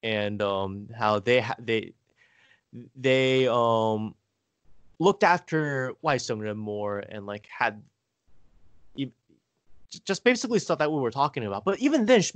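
A man telling family stories casually as a podcast guest, halting and rambling, saying "like" often. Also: medium pitch, expressive, no echo. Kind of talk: casual conversational storytelling.

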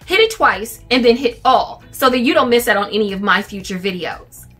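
A woman's voice: a little high, expressive, brisk, fairly bright tone, soft background music. A middle-aged woman chatting casually to camera as a vlogger, recorded indoors in a room at home. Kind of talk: casual shopping haul chat to camera.

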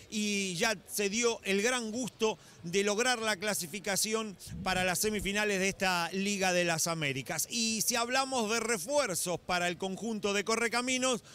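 A middle-aged man speaks into a handheld mic at the side of a basketball court. His voice sits high for a man, and his questions run long and formal. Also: sharp tone. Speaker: courtside interviewer. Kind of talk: post-game sports interview. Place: indoor basketball arena.

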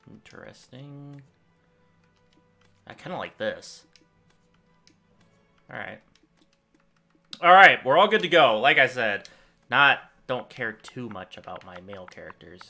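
A man's voice: medium pitch, measured pace, long pauses, soft background music. A young man hosting a gaming channel, thinking aloud in rambling casual commentary while he plays, recorded at a desk in a small room at home. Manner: rambling, thinking aloud, lively swings in loudness.

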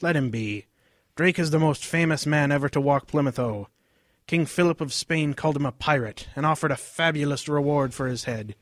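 A man's voice: fairly high pitch, no room sound, clean studio sound, close to an everyday voice. A man playing an earnest English father, proud of history, lecturing in a radio drama. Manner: lecturing, storytelling.

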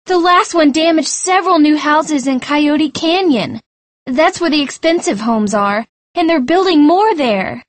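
A reader voicing an eager teenage girl in an audiobook story, lifting the voice high for her lines. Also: expressive, unhurried, no echo. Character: cheerful teenage girl Michelle.

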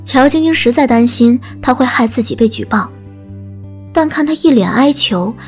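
A woman narrates a story in a scripted audiobook style. Her voice sits a little high. Soft music plays faintly behind her. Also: animated, moderate pace.

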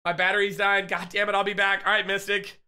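Loud, profane man in his thirties greeting his chat on a headset, bright and high-voiced, voice going hoarse. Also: fast talker. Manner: loud, excitable, profane shout-outs to chat. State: losing his voice, getting sick. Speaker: streamer. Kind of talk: casual live-stream chat. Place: home streaming room.

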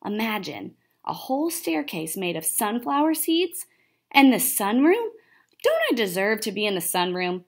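A woman reads as a chatty little male hamster from a picture book, very pleased with himself. He poses questions and answers them proudly, in a medium-pitched voice. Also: very animated, normal speed. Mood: wondering. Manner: self-satisfied, asking and answering himself. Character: cocky, chatty, easily delighted male pet hamster.

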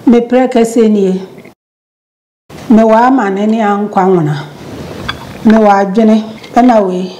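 An older woman from Ghana calmly talks through a home recipe in Twi, taking her time over each step. Her voice is at a middling pitch. Dishes and pans clatter clearly around her in the kitchen. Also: a little slow, long pauses, slight background noise, rough recording. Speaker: home cook. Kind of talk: cooking demonstration.

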